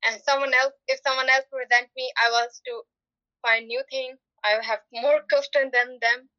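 Young woman, bright tone, eager simple answers, muffled dry sound. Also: high voice, steady tone, moderate pace, no echo. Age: young adult. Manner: eager, simple phrasing, searching for words. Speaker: interviewee, former refugee student. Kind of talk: casual interview answers.

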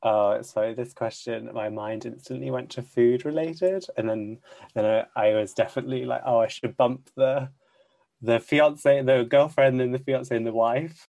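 A man from the UK, answering an interview in a relaxed, hesitant way that corrects itself as he goes. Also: medium pitch, moderate pace, gravelly. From United Kingdom.

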